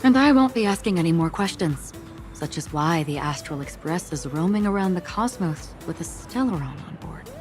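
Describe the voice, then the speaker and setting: A woman performs a mysterious female wanderer from a video game. Her voice sits low for a woman and stays calm, soft-spoken and reflective. Music plays faintly behind her. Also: moderate pace, natural voice. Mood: quiet, earnest.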